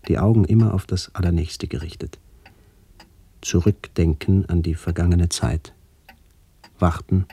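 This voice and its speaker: An actor playing a brooding, melancholy middle-aged man who narrates a literary radio play in a measured, introspective way. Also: low voice, mellow, slightly rough, full character voice. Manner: measured, introspective, poetic delivery.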